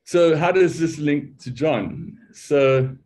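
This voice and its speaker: A man's voice: on the high side, slow pace, a little mellow. Older man, South African accent, academic lecture, dry self-mocking humor, casual delivery. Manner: wry, self-deprecating humor; loose, conversational delivery with restarts. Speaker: academic speaker. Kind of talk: conference talk.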